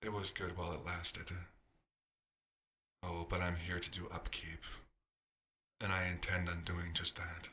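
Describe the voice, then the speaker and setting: Man playing a very deep, slow, wistful vampire. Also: little pitch movement, pushed and bright, slight background noise, exaggerated voice. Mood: wistful, resigned. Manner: unhurried, murmuring to himself with pauses. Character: nostalgic male vampire doctor, quiet, fastidious, gently wistful. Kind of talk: ASMR roleplay monologue.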